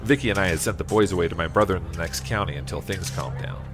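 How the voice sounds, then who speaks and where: A man's voice: deep voice, quick pace, over music, lightly acted. A man playing a humble, plain-spoken farmer from Iowa in an audio drama, a little hesitant and folksy. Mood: reflective narration. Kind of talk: audio drama acting and first-person narration.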